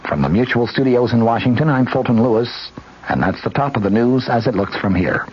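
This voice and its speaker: A man's voice: mid-range voice, lively intonation, fairly quick, slightly rough. A man reading scripted news formally as a radio newscaster. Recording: dull, noisy old radio broadcast.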